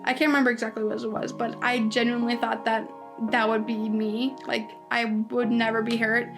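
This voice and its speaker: A woman's voice: fairly high pitch, flat delivery, a little fast, music in the background. A woman in her twenties hosting a podcast alone, talking casually and rambling with lots of "like"s and restarts. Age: 20s. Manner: chatty, rambling, many "like"s and restarts. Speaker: podcast host. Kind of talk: casual solo podcast monologue. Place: indoors at home.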